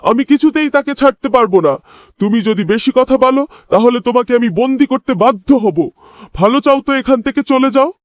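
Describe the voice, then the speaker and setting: A man's voice: very high-pitched, animated, normal speed, dry recording. A man voicing an angry, cruel village landlord in a fairy-tale cartoon, menacing, scornful and commanding. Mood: furious, unyielding.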